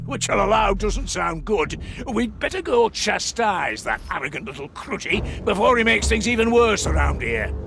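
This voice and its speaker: A gruff, battle-loving dwarf engineer from a fantasy video game. The voice is heavily gravelled yet pitched fairly high, swinging widely as he talks. He is hearty, colloquial and full of swagger. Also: exaggerated voice. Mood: grimly cheerful. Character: gruff, boisterous dwarf engineer, fond of fights. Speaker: mission-briefing game character. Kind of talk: scripted video game voice lines.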